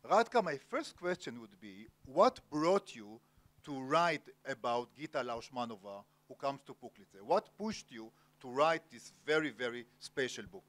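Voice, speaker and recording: Moderatore israeliano, uomo, formale ed esitante, registro medio. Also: male voice, mid-range voice, very animated, a bit bright. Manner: formal, many filler pauses. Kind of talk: onstage literary interview. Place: cultural center event hall, Jerusalem.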